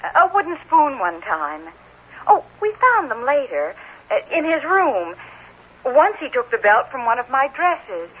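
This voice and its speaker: High-voiced, flustered foster mother. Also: very animated, unhurried, a bit bright, husky, exaggerated voice. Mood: flustered, apologetic. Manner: stammering, faltering, repeats herself. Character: flustered, apologetic, anxious former foster mother. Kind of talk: old-time radio drama acting. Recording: dull, noisy old radio recording.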